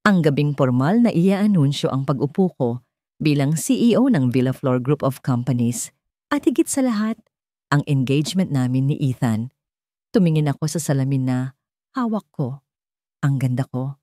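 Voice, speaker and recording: A woman's voice: extremely low pitch, expressive, normal speed, dark tone, no room sound, studio quality. A woman with a Filipino accent reading a scripted story in the first person as a dramatic audiobook narrator.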